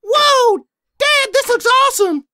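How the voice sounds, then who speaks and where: Gee-whiz kid for an old-timey radio ad, squeaky high, drawn out. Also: expressive, a little slow, pushed and bright, no echo, clean studio sound, heavily acted. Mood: amazed. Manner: wide-eyed, exaggerated gee-whiz delivery. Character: excitable young boy, bored then thrilled, 1950s-ad style. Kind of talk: hammy retro radio advert acting.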